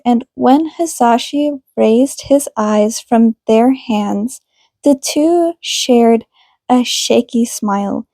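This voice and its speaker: A woman's voice: a little high, mellow, dry recording. A woman reading scripted fiction aloud as the narrator of an amateur audiobook. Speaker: podfic reader.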